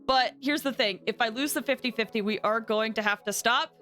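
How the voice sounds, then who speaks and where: A woman game streamer chatting casually with her viewers in an excitable, chatty manner. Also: on the high side, a little fast, soft background music. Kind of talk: casual livestream chat with viewers.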